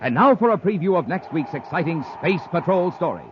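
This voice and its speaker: A man's voice: a little high, big pitch swings, brisk. A man announcing in a scripted 1950s radio style, with emphatic, dramatic salesmanship. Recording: noisy, muffled 1950s radio recording.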